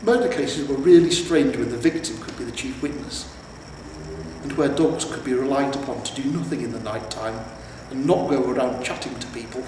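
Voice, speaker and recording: A man reading a scripted story aloud in a measured storytelling way, with pauses. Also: mid-range voice. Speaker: amateur audiobook reader. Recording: roomy, echoing, distant mic with noise.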